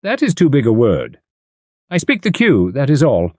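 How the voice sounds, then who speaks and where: A man voicing a tall, mysterious gentleman who speaks calmly, gently and enigmatically. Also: medium pitch, moderate pace, no echo, studio quality, pitched above the performer's own voice. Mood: enigmatic.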